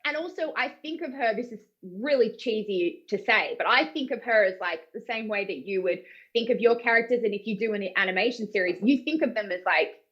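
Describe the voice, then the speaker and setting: A woman's voice: medium pitch, animated, brisk, muffled recording. An Australian woman, a voice actor, talking in a casual, informal, rambling way. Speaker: voice actor being interviewed. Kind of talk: casual chat.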